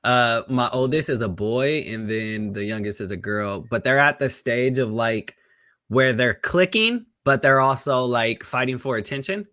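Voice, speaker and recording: A man's voice: mid-range voice, moderate pace, no echo, studio quality. A young man hosting a podcast, chatting casually and rambling with lots of fillers. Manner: relaxed, rambling with many fillers. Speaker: podcast host. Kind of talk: casual interview chat.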